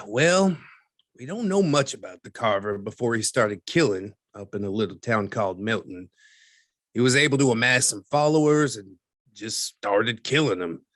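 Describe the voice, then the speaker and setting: A man playing a dry, skeptical newspaperman who explains things in a measured, wry interview style for an audio drama. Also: on the low side, a bit bright, no echo. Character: dry, skeptical newspaperman recounting archived cases.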